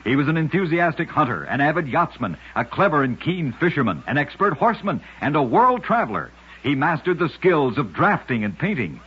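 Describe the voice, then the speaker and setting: A man narrating scripted short tales as a radio host, with measured, dramatic storytelling phrasing and punchy sign-offs. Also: a little high, sing-song. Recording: muffled, husky old radio recording.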